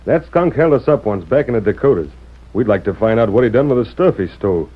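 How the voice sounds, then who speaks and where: An actor playing a belligerent American outlaw in a 1930s radio Western, with a tough, folksy drawl. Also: male voice, lowish voice, animated, fast talker, breathy edge. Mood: sly. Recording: dull old radio recording.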